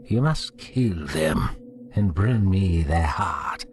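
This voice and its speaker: A menacing, demonic priest from a horror tale, acted out by a male narrator. The delivery is slow, deliberate and coldly sarcastic, in a low voice over clear noise. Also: expressive, heavily acted. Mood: cold menace. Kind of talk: horror story character voice. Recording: clearly noisy recording.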